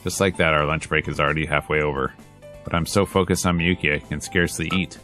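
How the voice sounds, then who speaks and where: A man with a low voice reads story narration and dialogue aloud as a streamer would. His delivery is casual and slangy, like someone playing through a visual novel, with music playing faintly underneath. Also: steady tone, quick pace. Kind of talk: casual let's play read-aloud.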